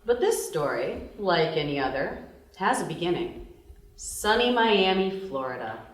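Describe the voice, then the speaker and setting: Woman acting a lively, low-voiced young party-girl thief. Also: measured pace. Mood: storytelling, proud. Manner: wry, confessional storytelling. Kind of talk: dramatic voice-over at a table read. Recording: echoey room, distant microphone.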